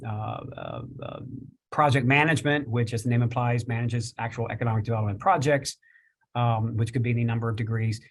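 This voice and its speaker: Rambling middle-voiced male panelist. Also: mid-range voice. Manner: rambling, many restarts and repeated words. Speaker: panelist from a business chamber. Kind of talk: casual panel discussion.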